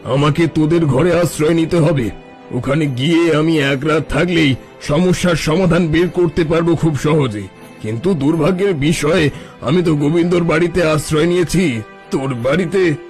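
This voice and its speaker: A man voices a crafty cartoon holy man with a fairly high male voice. He puts on self-important airs to swindle villagers, over soft background music. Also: moderate pace, natural voice. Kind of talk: cartoon story dubbing.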